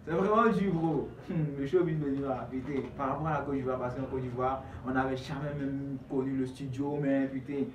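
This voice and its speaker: A man's voice: medium pitch, flat delivery, brisk, hardly any pauses, a little mellow, far from the mic, highs cut off. A young man with an Ivorian accent, a rapper giving casual interview answers in street slang. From Ivory Coast. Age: young adult. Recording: echoey room, off-mic, clearly noisy.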